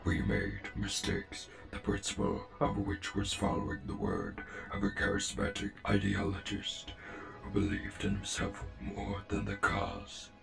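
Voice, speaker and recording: The low, crackling, courteous robot commander who would receive you aboard an enemy warship in a dubbed comic, score playing underneath. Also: male voice, expressive, vocal fry, exaggerated voice. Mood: stern, solemn. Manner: measured, formal, deliberate. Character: calm, formal, persuasive male robot faction officer. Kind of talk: comic dub voice acting. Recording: music behind the voice.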